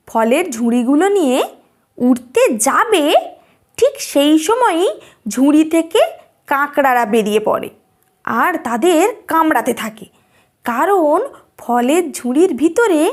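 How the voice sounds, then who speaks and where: High-voiced, slow-paced woman storyteller. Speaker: narrator. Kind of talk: scripted children's story narration.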